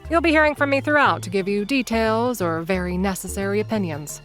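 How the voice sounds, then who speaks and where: A woman's voice: medium pitch, fairly quick, fairly bright tone, faint music behind, close to an everyday voice. A woman narrating an audio drama, speaking directly to listeners in a chatty, conspiratorial way. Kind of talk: scripted narration.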